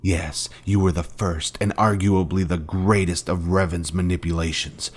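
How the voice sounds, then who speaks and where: A very deep male voice carries an actor's performance of a grave fallen-order Jedi mentor. He is solemn and deliberate, posing weighty rhetorical questions in the scripted style of a dramatic video game. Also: steady tone. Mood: solemn, accusing. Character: grave former Jedi Master confronting his fallen Padawan. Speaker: game character.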